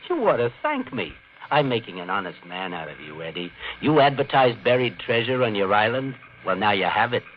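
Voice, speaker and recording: An actor playing a sly beachcomber in an old radio drama, taunting his victim in an unhurried, sardonic way. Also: fairly low pitch, big pitch swings. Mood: mocking amusement. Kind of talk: 1940s-50s radio drama acting. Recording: muffled, noisy old radio recording.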